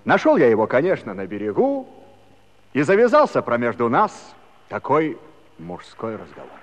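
A man's voice: mid-range voice, huge pitch swings, moderate pace, lo-fi sound. An actor playing an aggrieved village Cossack who tells his tale in a folksy, drawling, plaintive way, in theatrical musical comedy style. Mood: confiding, folksy. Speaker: narrating character. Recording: reverberant hall-like studio recording.

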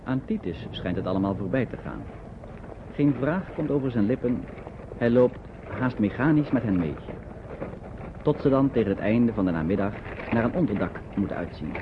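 A man's voice: fairly low pitch, lively intonation, normal speed. A man reading scripted narration for a radio play. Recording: dull, noisy old radio recording.

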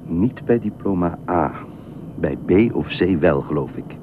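A man's voice: low voice, lively intonation, unhurried, phone-quality sound. An actor in a Dutch radio play voicing a reluctant, dryly patient stranger, terse and matter-of-fact in his answers. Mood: politely reluctant. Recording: noisy, thin band-limited old radio recording.

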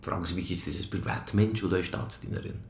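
Boozy cynical cop, creaky voice, dry n blunt, radio play, roomy sound. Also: male voice, low-pitched, steady tone, fast talker. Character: fat hard-drinking, cynical, weary police inspector. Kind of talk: radio crime drama acting.

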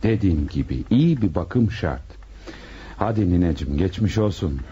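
An actor in a radio drama playing a calm doctor giving care advice. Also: male voice, deep voice, moderate pace. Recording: dull, faintly noisy radio recording.

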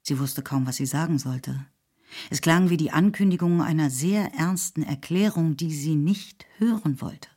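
A woman giving scripted literary narration in a measured storytelling manner. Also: sits low, dark tone, slightly rough.

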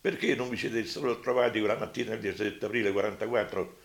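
An elderly man giving spontaneous testimony as a survivor, halting and repetitive but emphatic. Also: fairly low pitch, fairly even intonation, gravelly, roomy sound. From Rome, Italy. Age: elderly, over 80.

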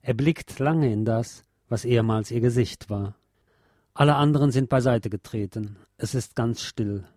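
A man giving a measured, solemn scripted reading of literary prose as narrator, articulating clearly. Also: medium pitch, dark tone, no room sound, clean studio sound. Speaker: narrator and reader.

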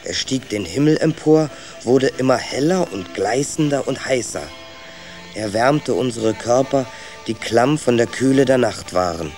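A man's voice: medium pitch, lively intonation, unhurried, a little mellow, soft background music. A man playing a reflective, wry rat who narrates his clan's voyage in a children's radio play. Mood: hushed wonder. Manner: thoughtful, wry storyteller.

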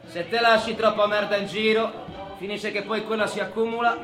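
An actor playing a long-serving, solitary, sardonic prison inmate obsessed with order, in a colloquial, profane theatrical monologue. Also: male voice, high-pitched, little pitch movement, music in the background, lightly acted. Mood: emphatic, rigid. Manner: swings from muttered confiding to barked, drawn-out announcements.

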